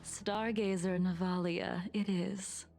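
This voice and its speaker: An actress voicing a calm, weary and wistful older woman in a game, speaking slowly and teasing gently. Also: on the low side, creaky. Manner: slow, measured, gently teasing. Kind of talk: game voice acting. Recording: game score playing underneath.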